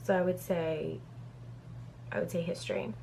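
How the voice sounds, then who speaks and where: A woman's voice: deep voice, animated, moderate pace, gravelly, a little mellow, a little hiss or hum, poor audio. A woman in her thirties from Brazil, chatting casually through a get-to-know-me Q&A on her vlog and reading questions off a paper with plenty of "um"s. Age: 30s. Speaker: beauty vlogger.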